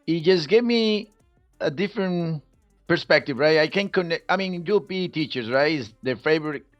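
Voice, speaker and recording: A man's voice: a little high, lively intonation, moderate pace, no room sound, lo-fi sound, soft background music. A middle-aged man from Costa Rica, a native Spanish speaker with a Costa Rican accent, telling his own story casually as an interview guest. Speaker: podcast guest, Spanish teacher.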